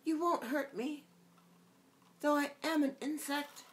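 A woman's voice: high-pitched, fairly even intonation, slow, pitched above the performer's own voice. A woman voicing a tiny, sad, pleading insect that coaxes and repeats little jokes, in a storybook reading. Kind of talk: audiobook character voice.

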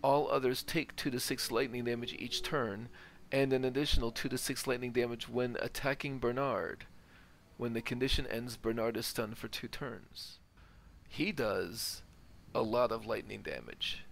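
A man's voice: medium pitch, fairly even intonation, moderate pace, creaky, a bit bright, slight background noise. A man giving casual, methodical gameplay commentary, thinking aloud and reading out stats. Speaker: gaming video host. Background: game ambience.

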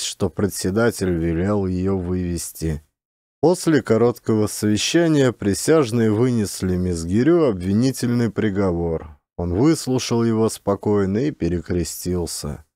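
A male actor narrates a literary audiobook dramatically. His voice is lowish and mellow with lively intonation, recorded very dry and close. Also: no room sound.

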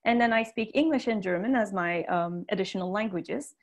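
A woman's voice: mid-range voice, fairly quick. A woman in her thirties whose first language is Turkish, giving an earnest online conference talk with frequent fillers over a webcam. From Turkey. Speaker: teacher trainer giving a conference plenary. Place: indoor room, webcam video call.

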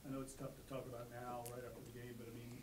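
A male reporter puts questions to the podium in a middle-pitched voice, stopping and rephrasing as he goes. The room echoes around him. Steady noise sits under the recording. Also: rapid-fire. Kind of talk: press conference questions. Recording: roomy, noisy press-room audio.

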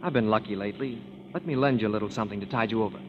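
An actor playing a kind, generous gentleman musician, easygoing and gentlemanly, in 1930s radio drama style. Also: lowish voice, very fast, background noise, natural voice. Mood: kindly, reassuring. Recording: muffled 1930s radio broadcast.

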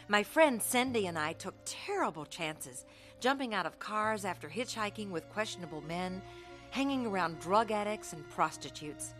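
A woman telling her own life story on a radio play, reflective and measured, soft music behind. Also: on the low side. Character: grown woman recounting her troubled youth. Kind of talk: scripted first-person radio drama narration.

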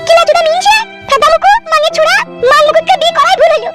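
Whiny cartoon young woman, very high squeaky pitch, rapid complaining, loud music underneath. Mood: annoyed. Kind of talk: comedy cartoon dialogue.

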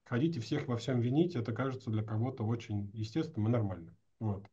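A man's voice: mid-range voice, fast, dark tone, husky. A man of about forty hosting an online meeting, explaining things casually over a video call. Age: around 40. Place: small indoor room at a desk. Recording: slightly dull video-call audio.

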